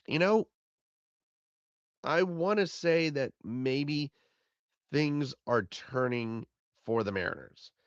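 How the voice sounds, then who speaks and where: A man with a raspy voice, hoarse from being sick, hosting a solo postgame recap podcast and rambling and repeating himself. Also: medium pitch, fairly bright tone, no echo, muffled recording. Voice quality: raspy, fading voice. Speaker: podcast host.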